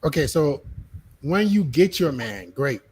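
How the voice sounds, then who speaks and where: A man's voice: fairly high pitch, sing-song, a little slow, breathy edge. A man hosting a podcast panel, pressing the guests with questions, often cutting in and repeating himself. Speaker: podcast host. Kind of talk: casual panel discussion.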